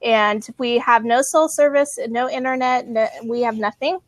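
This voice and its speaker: A woman's voice: on the high side, normal speed, no echo. A woman hosting a casual live video chat, talking loosely with many ums and frequent laughs. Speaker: host.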